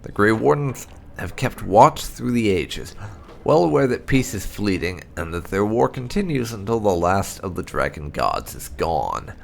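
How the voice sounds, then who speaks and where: Gravelly man narrating fantasy lore, lively intonation. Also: fairly low pitch, measured pace, slight background noise. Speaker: gameplay channel host. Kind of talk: dramatic read-aloud narration of fantasy lore. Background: music.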